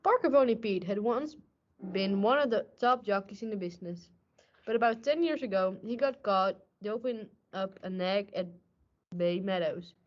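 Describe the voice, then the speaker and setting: A woman's voice: medium pitch, animated, normal speed, natural voice. A woman playing a weary, hard-boiled male police detective, narrating in tough, slangy radio noir style. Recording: muffled, noisy amateur stage recording.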